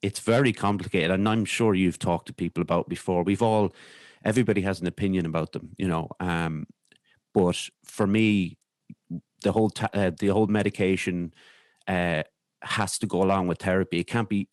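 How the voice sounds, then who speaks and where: An Irish man speaks in a low voice as an interview guest. He tells a personal story reflectively, often restarting and repeating words. The sound is dry, with very little room on it. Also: fairly even intonation, normal speed, a little mellow, no echo. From Ireland. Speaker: podcast guest.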